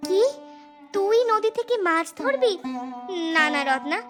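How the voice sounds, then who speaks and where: A woman's voice: extremely high pitch, animated, unhurried, music in the background. Woman playing a protective, worried village elder sister. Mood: worried, cautioning. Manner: cautioning, reassuring. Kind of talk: cartoon fairy-tale dialogue acting.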